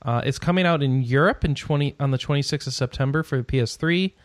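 A man's voice: medium pitch, quick pace, no room sound. A man hosting a casual podcast, reading out gaming news with rambling, sarcastic asides that trail off into mumbles.

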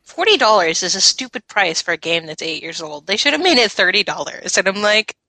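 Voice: mid-range voice, big pitch swings, brisk, sharp tone, dry recording, low-quality recording. A panelist chatting casually and explaining things in a group discussion. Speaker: podcast panelist. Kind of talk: casual chat.

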